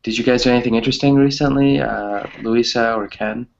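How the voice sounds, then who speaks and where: A man's voice: medium pitch, steady tone, fairly quick, gravelly. A man chatting casually as an online class teacher, hesitant and often repeating phrases, on slightly muffled audio. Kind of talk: casual chat with students.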